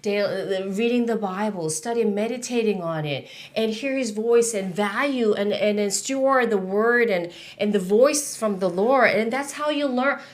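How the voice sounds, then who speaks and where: A woman's voice: mid-range voice, moderate pace, few pauses. Korean-accented woman hosting a faith talk show. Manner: animated, gesturing, many affirming interjections. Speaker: host. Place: studio set.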